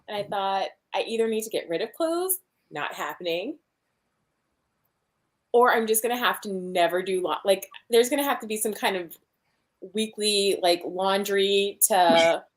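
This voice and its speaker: Mid-pitched woman in casual chat. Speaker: participant in a group reading livestream.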